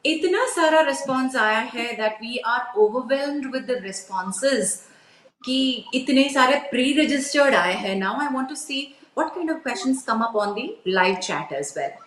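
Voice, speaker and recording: A woman's voice: a little high, a bit bright, roomy sound, slight background noise, poor audio. A woman with an Indian accent hosting a live health show, switching between English and Hindi as she presents and interviews.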